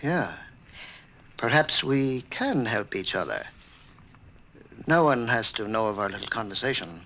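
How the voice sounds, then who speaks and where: A man playing a guilty, frightened wife killer in a 1940s radio horror drama, with a halting, stammering delivery. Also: fairly low pitch, big pitch swings, a bit bright, full character voice. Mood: furtive, calculating. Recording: noisy old radio recording.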